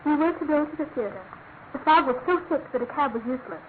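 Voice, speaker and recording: A young British woman, a loyal and anguished fiancée in a radio mystery. Her voice is high and refined, with earnest, halting emphasis. The recording is thin and phone-like, lightly noisy. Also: lively intonation. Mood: grieving, pleading. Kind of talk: classic radio drama acting. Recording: noisy, narrow-band old radio recording.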